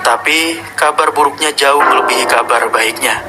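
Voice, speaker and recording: A man's voice: mid-range voice, moderate pace, loud music behind the voice, voice pushed down, natural voice. A man voicing a skeptical, curious young channel owner, telling a horror story in the first person in a matter-of-fact, confiding way. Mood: skeptical, uneasy.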